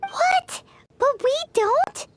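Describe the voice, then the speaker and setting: Little floating game sidekick girl, super high and squeaky, bubbly, swoops all over. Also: lively intonation, very slow, very noisy, exaggerated voice. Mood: surprised, let down. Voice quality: squeaky, childlike. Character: small floating fairy companion girl, childlike, eager, chirpy. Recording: background game music.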